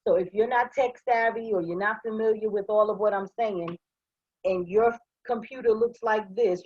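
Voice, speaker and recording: Hoarse woman trainer, husky, slightly muffled close mic, upbeat walkthrough voice. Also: mid-range voice, a little mellow, no room sound. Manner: upbeat, step-by-step, checks in with "okay?". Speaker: online trading trainer. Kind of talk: live screen-share software walkthrough.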